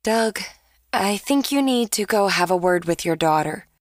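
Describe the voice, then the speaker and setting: A woman plays a concerned wife and mother in an audio drama, gently firm. Her voice is medium in pitch and recorded very dry. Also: animated, moderate pace, dry recording, natural voice.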